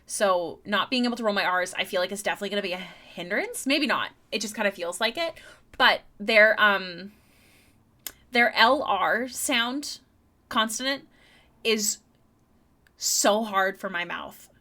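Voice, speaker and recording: A young woman talks casually to her live-stream viewers while she works on a drawing. She is chatty and laughs easily, often repeating words as she thinks aloud. Her voice is bright and crisp, at an ordinary pitch for a woman. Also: a little slow. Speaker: streaming artist.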